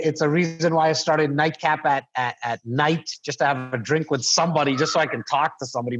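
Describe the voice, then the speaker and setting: A man's voice: medium pitch, lo-fi sound. A man co-hosting a video call panel, enthusiastic and prone to repeating himself as he restarts sentences. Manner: enthusiastic, repetitive, stumbling restarts. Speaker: co-host.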